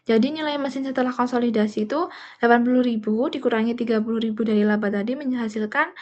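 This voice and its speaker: A woman's voice: fairly high pitch, darkish tone, highs cut off. A young woman, a student presenter explaining material step by step in a classroom-style presentation. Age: young adult.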